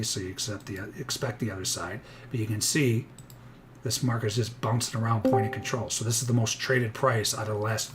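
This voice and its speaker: Man, slightly hoarse, lowish voice, chatty live trading commentary with clear noise underneath. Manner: chatty, thinks aloud, many restarts. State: a little hoarse. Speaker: futures day trader streaming live.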